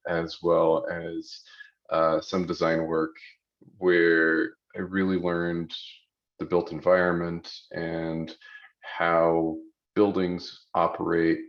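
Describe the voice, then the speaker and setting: A Flemish-accented man in his forties speaks slowly in a low, flat voice, pausing often as he introduces himself to a panel. His first language is Flemish. The video-call audio sounds dull and lo-fi. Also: gravelly. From Belgium. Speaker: panelist, utility program manager.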